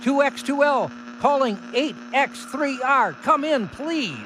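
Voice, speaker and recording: An actor playing an anxious radio operator in an old-time radio drama, making insistent calls for contact. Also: male voice, very high voice, lively intonation, slow, background noise, heavily acted.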